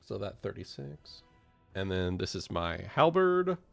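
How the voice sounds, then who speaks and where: A man with a fry-edged voice and an unhurried delivery. He talks casually as a tabletop roleplayer, agreeable and brief in his replies. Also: fairly low pitch, big pitch swings, a bit bright, soft background music. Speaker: player in a tabletop roleplaying game. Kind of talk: casual game-session chat.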